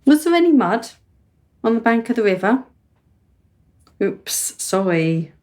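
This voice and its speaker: A woman's voice: on the high side, sing-song, measured pace, darkish tone. A woman playing a precocious, cheeky seventeen-year-old daughter in a radio play, pert and quizzical. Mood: impatient.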